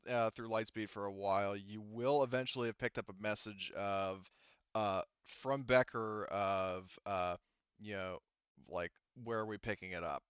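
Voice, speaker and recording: A man's voice: on the low side, steady tone, measured pace, a bit bright, smooth, dry recording, lo-fi sound. A man acting as game master in a casual tabletop roleplaying session, rambling and thinking aloud with the odd swear word.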